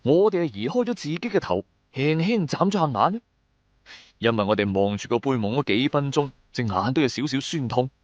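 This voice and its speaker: A man's voice: medium pitch, expressive, a little fast, no room sound, muffled recording. A man narrating a scripted first-person audio drama in Cantonese-accented speech, in a colloquial, dramatic storyteller's manner. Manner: colloquial storyteller delivery with sentence-final particles.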